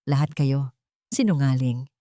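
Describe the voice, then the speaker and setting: A woman with a Filipino accent voicing a heartbroken, wounded young village woman in an audio story, in a low murmur. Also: a little slow, mellow, no room sound, studio quality. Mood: bitter.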